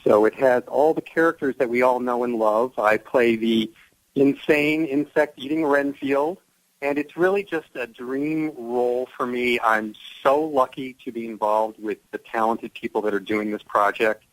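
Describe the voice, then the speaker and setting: A man's voice: medium pitch, normal speed, dry recording, rough recording. Male radio guest, mid-range voice, enthusiastic and explanatory, conversational, dry close sound. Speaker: guest, audio drama actor. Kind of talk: casual radio interview.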